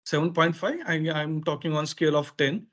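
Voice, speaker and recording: Man, Indian accent, Marathi native speaker, slightly high pitch, informal explanatory presentation, halting phrasing with frequent fillers. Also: steady tone, fairly bright tone, highs cut off. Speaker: education consultant presenting a webinar.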